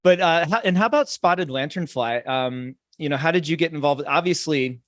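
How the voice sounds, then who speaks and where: A man's voice: fairly high pitch, normal speed, a bit bright, no echo, clean studio sound. A middle-aged American man hosting a podcast interview in a friendly, chatty way with many fillers. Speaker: podcast host.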